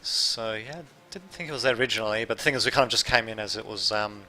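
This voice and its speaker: A man's voice: on the low side, fast, bright, forceful tone, soft background music. A man from Serbia, raised in Australia, with a light Australian accent, giving rambling casual commentary over a strategy game and chatting to his viewers. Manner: rambling, self-correcting, chatty asides to viewers. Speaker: gameplay commentator.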